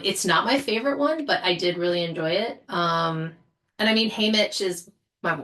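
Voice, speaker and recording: A woman with a slightly low voice talks as a guest on a podcast panel. Her delivery is casual and conversational. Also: a bit bright. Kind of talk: casual discussion.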